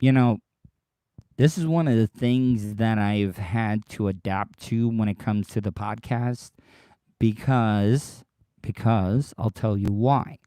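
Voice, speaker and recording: A man hosting his own podcast livestream, rambling and repeating himself as he talks straight to his listeners, his voice worn deeper than usual by tiredness. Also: slow pace, warm tone, no room sound, clean studio sound. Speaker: podcast host. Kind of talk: casual solo livestream monologue.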